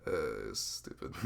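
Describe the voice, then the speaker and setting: A man playing a dorky, smitten young best friend in an intimate ASMR roleplay, speaking in a halting, awkward, rambling way. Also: extremely low pitch, vocal fry, a little mellow, slight background noise, exaggerated voice. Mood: goofy, playful.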